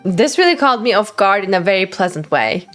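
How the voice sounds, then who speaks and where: A woman streamer giving gushing, excitable live commentary while playing a video game. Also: medium pitch, a little fast. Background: game music.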